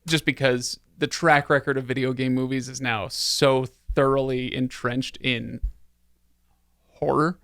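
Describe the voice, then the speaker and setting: A man's voice: mid-range voice, fairly even intonation, a little slow, fairly bright tone, clear, resonant tone, no echo, clean studio sound. A man hosting a podcast in casual chat, joking and given to exaggeration. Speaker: podcast host.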